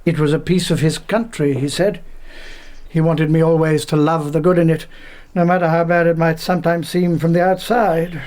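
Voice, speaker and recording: Casting: male actor as a chatty, evasive man under questioning, voice sits high. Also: level tone, normal speed, darkish tone, natural voice. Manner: chatty, evasive, British turns of phrase. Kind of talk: radio drama acting.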